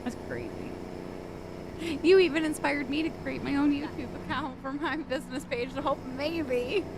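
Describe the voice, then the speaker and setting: A woman's voice: sits high, moderate pace, background noise. A woman co-hosting a live stream, chatting casually and reading chat messages aloud with frequent laughs. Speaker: stream co-host.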